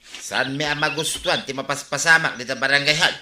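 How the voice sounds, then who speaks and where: Echoey drama track, faint music and birds; stern village official, Ilocano accent, very sharp, reproachful. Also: male voice, on the high side, fairly quick, slight background noise. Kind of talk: Ilocano radio drama acting.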